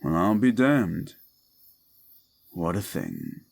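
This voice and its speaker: A man playing a gruff, wisecracking, unflappable demon paranormal detective in a roleplay audio drama, with a laconic, dry delivery. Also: low-pitched, wildly swooping pitch, slow pace, darkish tone, full character voice. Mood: dry, curious.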